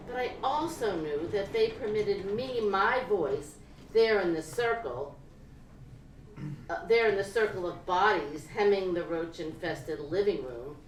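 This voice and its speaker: A woman gives an expressive literary reading of first-person prose at a live event, phrasing it deliberately and dramatically. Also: lowish voice. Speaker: reader of fiction at a live event. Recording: roomy, distant live-event recording with noise.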